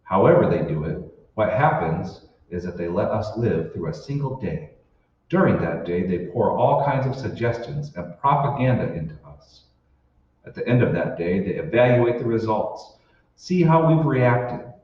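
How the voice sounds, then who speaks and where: A man's voice: fairly low pitch, animated, moderate pace, natural voice. A man playing an earnest, determined radio-drama hero who uncovers a conspiracy, speaking with urgent emphasis. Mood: urgent, astonished. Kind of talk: old-time radio drama acting. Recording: echoey hall, distant, muffled, noisy.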